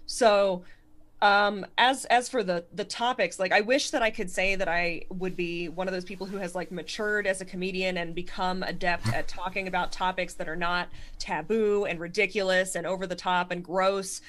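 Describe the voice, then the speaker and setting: A young woman from Connecticut with a Connecticut accent, a stand-up comedian answering interview questions quickly and self-deprecatingly, with many restarts. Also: fairly low pitch, fairly even intonation, fairly bright tone. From Connecticut, USA.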